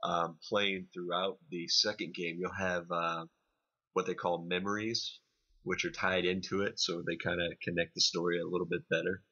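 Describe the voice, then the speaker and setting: A man co-hosting a podcast, giving a casual video game review in a hesitant, explanatory way with many pauses. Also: low voice, level tone, bright, forceful tone, husky, muffled recording. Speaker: podcast co-host reviewing games.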